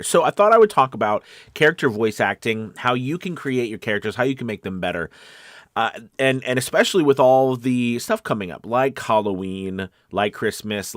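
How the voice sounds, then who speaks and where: Low-voiced man hosting a casual coaching stream. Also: dry recording, studio quality. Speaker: host of a voice-acting coaching show. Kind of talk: casual livestream coaching talk.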